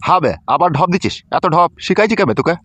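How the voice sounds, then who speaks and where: A man's voice: high voice, expressive, fast talker, a bit bright, a little hiss or hum. A man playing a coarse, scolding, suspicious middle-aged village father in a comedy cartoon. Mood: irritated.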